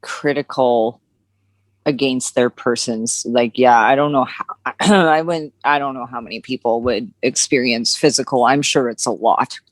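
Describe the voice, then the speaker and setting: A woman's voice: extremely low pitch, measured pace, dry recording. A woman co-hosting a podcast, talking frankly and casually with lots of fillers. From Canada. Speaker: podcast co-host. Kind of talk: candid conversational chat.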